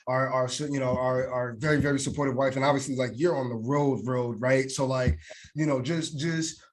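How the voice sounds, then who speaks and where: A man with a mid-range voice interviewing casually as a podcast co-host. He talks haltingly, restarting and padding his questions as he works toward the point. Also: mid-range voice, steady tone. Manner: halting, heavy use of "like", circles around questions. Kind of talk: casual chat.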